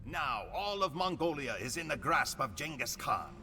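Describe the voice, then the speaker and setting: An actor playing a grim Mongol warrior who solemnly recounts his Khan's conquests as dramatic game cutscene narration, with deliberate phrasing. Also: a little high, very animated, sharp tone, faint music behind, exaggerated voice. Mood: menacing, proud.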